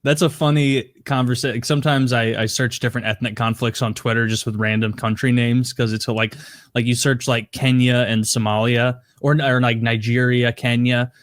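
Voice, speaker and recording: A man's voice: medium pitch, level tone, moderate pace, no room sound, studio quality. A man in his thirties co-hosting a podcast, rambling and joking with lots of fillers. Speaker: podcast co-host. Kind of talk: casual comedic chat.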